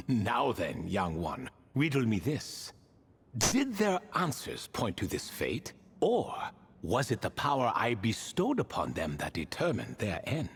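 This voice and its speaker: A man's voice: mid-range voice, huge pitch swings, slow, a little hiss or hum, exaggerated voice. An actor playing an ancient, proud, whimsical spirit king in a video game, with a grandiose, theatrical, riddling way of speaking. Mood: theatrical storytelling. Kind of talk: game character dialogue.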